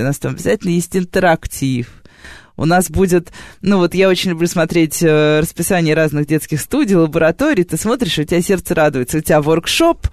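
A woman's voice: lowish voice, darkish tone, no echo, studio quality. A woman hosting a radio interview, asking long winding questions while fighting an autumn cough. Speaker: radio host. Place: radio studio.

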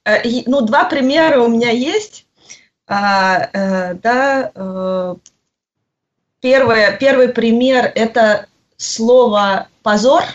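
A woman answering interview questions, pausing often and searching for her words. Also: on the high side. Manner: hesitant, searching for words with frequent pauses. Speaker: guest. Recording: muffled lo-fi audio.

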